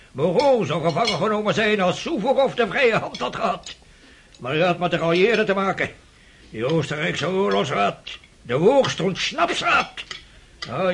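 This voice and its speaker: An actor playing a cantankerous, opinionated old prince in a radio drama, husky and creaky, sputtering and repeating himself as he blusters. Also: high voice, a bit bright, slight background noise, full character voice. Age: older.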